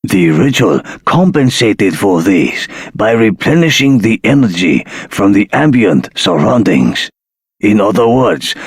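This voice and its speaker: A man playing a solemn elderly dragon-folk elder in a video game, speaking in a measured, formal way. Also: fairly low pitch, breathy edge, dry recording, studio quality, heavily acted. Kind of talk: scripted game voice acting.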